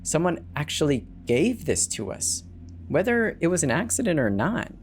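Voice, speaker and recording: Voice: mid-range voice, big pitch swings, moderate pace, slight background noise, pitched above the performer's own voice, close to an everyday voice. Stubborn, exasperated working parent, voiced by a narrator. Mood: defensive pleading. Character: tired, cash-strapped working parent, defensive and stubborn. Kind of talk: dramatic story reading. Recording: background music behind the voice.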